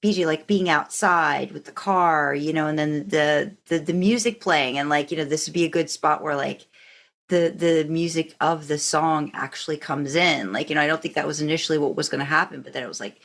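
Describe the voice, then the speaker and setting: A woman with a fairly deep voice hosts a relaxed group conversation. She talks in loose, rambling thoughts and encourages the people she is speaking with. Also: brisk, gravelly. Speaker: podcast host. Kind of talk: casual group discussion.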